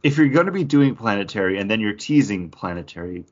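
A man with a low, rough-edged husky voice talks casually as co-host of a comics review podcast. The sound is somewhat dull, with the top end rolled off. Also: animated, quick pace. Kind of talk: casual chat. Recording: dull, band-limited audio.

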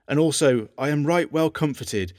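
An actor playing an earnest, lovesick English king, reading love letters aloud in a measured, formal, archaic manner. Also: a little high, darkish tone, dry recording, studio quality, lightly acted. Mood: tender, longing. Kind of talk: dramatised reading of historical letters.

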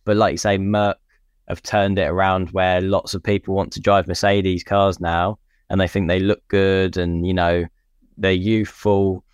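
A man's voice: low voice, flat delivery, unhurried, smooth, no echo, clean studio sound. A man co-hosting a podcast, chatting casually about motorsport in an agreeable, conversational way. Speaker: podcast co-host.

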